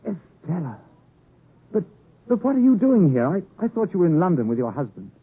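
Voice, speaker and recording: An actor playing an earnest, passionate young English gentleman who narrates his story in a theatrical 1950s radio drama style. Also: sits high, big pitch swings, brisk, husky, heavily acted. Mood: earnest, pleading. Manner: theatrical, emotive. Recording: vintage 1950s radio, tinny, music behind.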